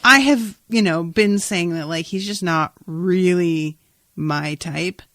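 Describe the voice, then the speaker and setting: A woman hosting a podcast, chatting casually and recapping a story in an informal, expressive, sweary way. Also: on the low side, slow pace, no room sound, clean studio sound. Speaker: podcast host. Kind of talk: casual chat, recapping a TV episode.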